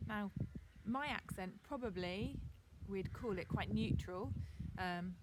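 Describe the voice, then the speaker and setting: Relaxed teacher woman with RP accent. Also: medium pitch, slow, heavy background noise. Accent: received pronunciation. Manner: chatty, relaxed, frequent ums. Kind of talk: informal video lesson. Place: garden outdoors.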